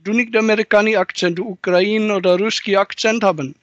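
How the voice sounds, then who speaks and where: A man's voice: high voice, steady tone, a bit bright, no echo, lo-fi sound. A man doing a muddled foreign caller. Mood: teasing. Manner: halting broken German, mixing in English and Spanish. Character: muddled foreign man mangling German, teasing. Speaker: prank caller.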